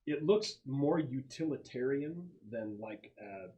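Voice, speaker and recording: Man game master, halting tabletop narration. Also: medium pitch, animated, warm tone, lo-fi sound. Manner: halting, searching for words as he describes.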